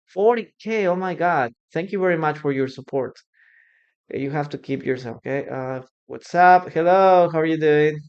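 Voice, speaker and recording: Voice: medium pitch, darkish tone, muffled recording. An English teacher whose first language is Spanish, giving an encouraging live online class and repeating phrases for the students. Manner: slow, careful delivery.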